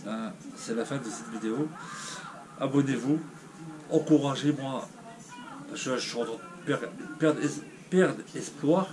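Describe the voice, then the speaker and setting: A man's voice: medium pitch, a little slow, noisy background. Dejected man with a stuffy cold voice. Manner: rambling, hesitant, with many fillers and restarts. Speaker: YouTuber. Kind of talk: casual vlog talking to viewers.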